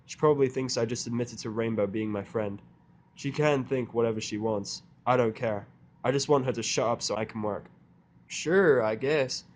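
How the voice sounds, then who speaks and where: A man's voice: medium pitch, a little fast, darkish tone, slight background noise. A man narrating a first-person story in character, with a dry, sardonic manner. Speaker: audiobook narrator.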